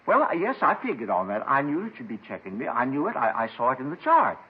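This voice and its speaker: A man's voice: medium pitch, wildly swooping pitch, fast, slight background noise. An actor in a 1950s radio drama playing an agitated, paranoid reclusive crank who rambles, stammers and repeats himself about his doomsday writings. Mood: eager, rambling. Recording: muffled vintage 1950s radio recording.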